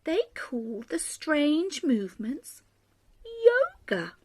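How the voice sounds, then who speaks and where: Very slow, high-voiced woman storyteller. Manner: animated, dramatic pauses and emphasis. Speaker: children's storyteller. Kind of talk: expressive bedtime story reading.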